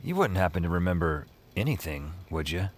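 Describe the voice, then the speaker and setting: A man's voice: sits low, big pitch swings, brisk, slight background noise. A man playing a cocky, restless, wisecracking novice spellcaster who narrates his own audio drama in a wry, conversational way. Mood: restless craving. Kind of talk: first-person audio drama narration and acting. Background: music.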